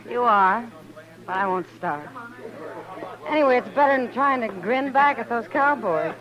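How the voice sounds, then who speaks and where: A woman's voice: fairly high pitch, animated, moderate pace, dull audio, lightly acted. An actress playing a frank, world-weary frontier saloon woman in a 1950s radio western, with a dry, plain-spoken manner. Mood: jaded, confiding. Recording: old radio recording, saloon crowd behind.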